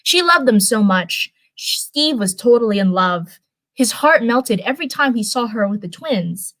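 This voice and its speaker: A woman's voice: on the high side, animated, a little slow, fairly bright tone, no echo. A woman reading fan fiction aloud in a casual livestream style, with chatty, rambling asides. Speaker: live streamer reading fanfiction.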